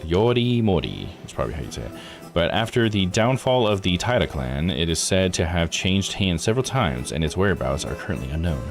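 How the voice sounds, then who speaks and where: A tired male game streamer with a lowish voice and lively intonation reads on-screen text over soft music. He drops in casual asides and corrects himself on difficult names. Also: a little fast. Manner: stumbles over foreign names and self-corrects. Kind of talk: live playthrough, reading game text aloud with casual asides.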